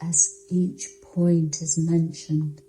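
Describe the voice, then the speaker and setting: Very slow, low-voiced woman guiding meditation. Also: flat delivery, rough recording. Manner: soothing, hushed, unhurried with long pauses. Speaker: guided meditation narrator. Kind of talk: yoga nidra guided meditation, scripted narration.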